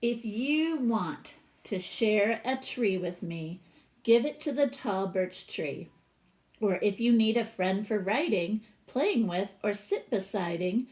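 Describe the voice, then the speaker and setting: A woman voicing a gentle, sincere schoolboy who is making friends in a storybook. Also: medium pitch. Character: schoolboy poet, once mean, now apologetic and friendly.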